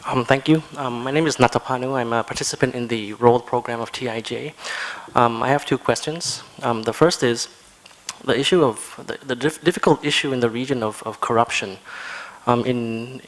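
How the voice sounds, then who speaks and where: A man's voice: mid-range voice, normal speed, low-quality recording. A man asking a question from the audience at a conference, hesitant and full of restarts. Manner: hesitant, many fillers and restarts. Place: large conference hall.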